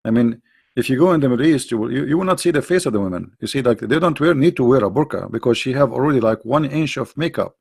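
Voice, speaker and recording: A middle-aged man delivers an emphatic, lecturing monologue on a live stream. His mid-pitched voice carries a gravelly, creaky edge. His English is non-native, with loose grammar and frequent restarts as he builds each point. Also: expressive, fast, darkish tone. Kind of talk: religious commentary on a live stream.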